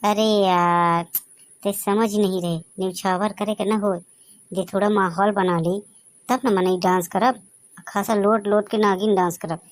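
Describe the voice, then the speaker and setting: A man voices a brash young villager in a comedy cartoon, high and boyish with a Bagheli accent. He is colloquial, cajoling and quick to argue. The sound is close and dry. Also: fairly even intonation, no room sound. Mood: cajoling, argumentative. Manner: colloquial, pushy, argumentative. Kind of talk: dialect comedy cartoon voice acting.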